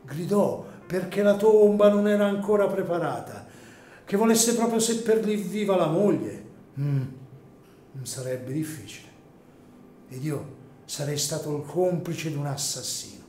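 A man's voice: on the high side, animated, measured pace, mellow, echoey room, a little hiss or hum. A man playing an anxious, scrupulous cemetery caretaker in an Italian radio drama, agitated and hesitant. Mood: uneasy, brooding. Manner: agitated, hesitant, repeats words.

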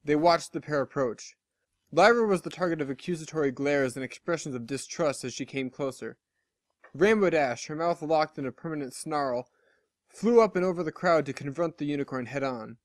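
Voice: mid-range voice, normal speed, no echo. A story reader giving a scripted narration of fiction. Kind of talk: scripted narration of fan fiction with character voices.

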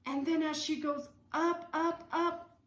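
A woman in her thirties leading songs and story time for young children, speaking in simple, repetitive, expressive phrases. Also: high voice, slow pace, roomy sound. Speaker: speech-language pathologist leading circle time. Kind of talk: children's songs and read-aloud lesson. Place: small room at home.

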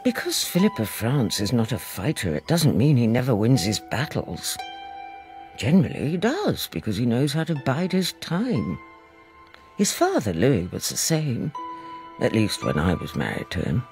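A performer playing a worldly royal narrator with a dry, knowing manner in a historical audio drama. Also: male voice, medium pitch, huge pitch swings, moderate pace, a little mellow, faint music behind. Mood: wry.

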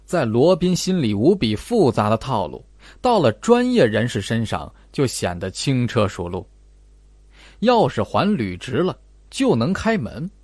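A man's voice: medium pitch, very animated, measured pace, darkish tone, slightly rough, no room sound. A man reading a suspense novel aloud as a dramatic scripted narration. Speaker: audiobook narrator.

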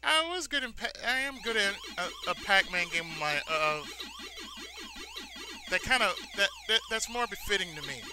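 A man's voice: sits high, expressive, moderate pace. A man giving casual let's-play commentary, rambling sentimentally and repeating his phrases, as host of a gaming video. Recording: noisy, game sounds behind voice. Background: arcade-style video game sounds.

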